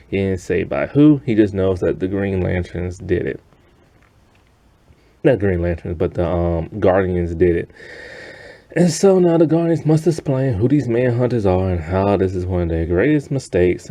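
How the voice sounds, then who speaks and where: Low, mellow-voiced man reviewing casually. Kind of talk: casual spoken review of an animated series.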